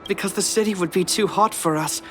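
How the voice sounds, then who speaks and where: A woman's voice: medium pitch, fairly quick, lightly acted. Deferential soldier voiced by a woman over music. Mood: amused. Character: deferential young soldier serving under a guard captain. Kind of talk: video game voice acting.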